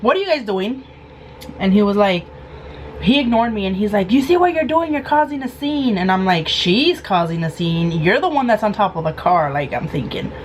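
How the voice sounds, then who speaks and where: A woman in her thirties with a hoarse, sore throat, telling a casual storytime vlog and acting out the quoted lines. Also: mid-range voice, normal speed, a little hiss or hum. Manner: animated, acts out quoted lines. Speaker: vlogger.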